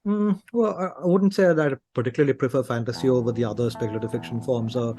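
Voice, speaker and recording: A man's voice: mid-range voice, quick pace, warm tone, soft background music. A man from Sri Lanka speaking casually as an interview guest, thinking aloud in hesitant, broken phrases.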